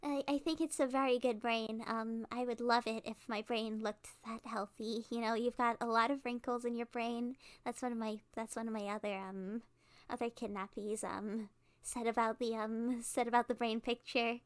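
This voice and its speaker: A woman's voice: fairly high pitch, little pitch movement, lightly acted. A woman playing a cute, awkward, cheerfully menacing kidnapper girl in a rambling, playful ASMR roleplay monologue. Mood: chatty, giddy. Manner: rambling, halting, giggly, playful.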